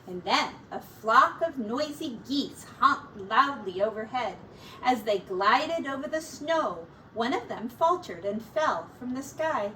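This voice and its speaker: A woman reading a children's picture book aloud as a teacher, in a deliberate, expressive storytelling manner. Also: fairly high pitch. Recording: distant mic with faint background noise.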